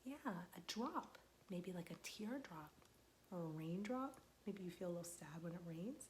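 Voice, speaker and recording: A young woman, a children's librarian, reading a picture book aloud to small children, gentle and patient, asking them questions and waiting for their answers. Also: lowish voice, lively intonation, normal speed, a little hiss or hum.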